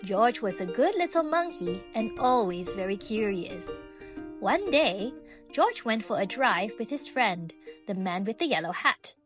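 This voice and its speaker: A woman reading a children's book aloud in an expressive, animated way, drawing out key phrases for young listeners. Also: medium pitch, unhurried, over music. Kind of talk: expressive storybook read-aloud.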